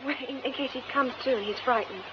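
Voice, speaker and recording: A woman playing a caring young actress in a radio drama, practical, polite and steady under shock. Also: high voice, fast, close to an everyday voice. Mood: concerned. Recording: dull radio recording, faint noise.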